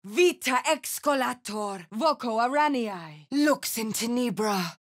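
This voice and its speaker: A fierce sorceress incants in a high voice with wide swings of pitch. Her delivery is slow and declamatory, each short phrase pronounced as a command in a fantasy video game. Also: lively intonation. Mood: intense, commanding. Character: fierce female spellcaster calling out Latin incantations. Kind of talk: video game spell-casting barks.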